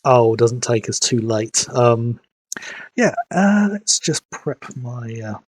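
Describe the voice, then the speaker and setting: A man's voice: medium pitch, very animated, no room sound. A man, sniffly from hay fever, chatting casually as he streams a game and recaps the story. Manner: rambling, fills pauses with "um". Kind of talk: casual live-stream commentary.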